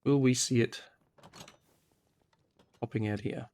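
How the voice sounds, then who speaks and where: A man who is unwell, husky and a little nasal, giving a casual video game walkthrough as host and thinking aloud as he goes. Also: mid-range voice, steady tone, slow pace, a little mellow. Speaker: host of a gaming series.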